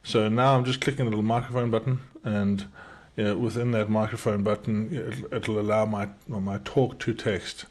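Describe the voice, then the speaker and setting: A middle-aged South African man, his accent softened by years in the UK, walks through a software tutorial in casual talk. He talks fast, with many restarts and fillers. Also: lowish voice, fairly even intonation. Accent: South African, softened by decades in the UK. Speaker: entrepreneur demonstrating software. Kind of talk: casual screen-share tutorial. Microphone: webcam in a small circle over the screen recording.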